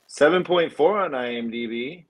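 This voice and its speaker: A man's voice: mid-range voice, moderate pace. A man co-hosting a podcast, casually reading out film statistics and prompting the others with short questions. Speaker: podcast co-host.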